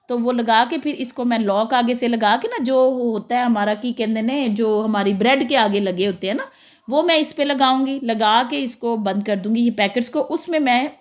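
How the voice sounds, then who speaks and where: A middle-aged woman with a Pakistani Punjabi accent and a hoarse throat, chatting casually while demonstrating kitchen tasks and slipping into Punjabi. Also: on the high side. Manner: chatty, slips into Punjabi, laughs often. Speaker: cooking vlogger.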